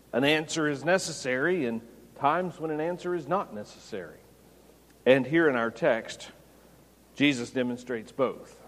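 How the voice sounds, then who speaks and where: Male pastor, expository sermon, mid-pitched voice, long reverb of a sanctuary. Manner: expository preaching, raises voice for quoted lines.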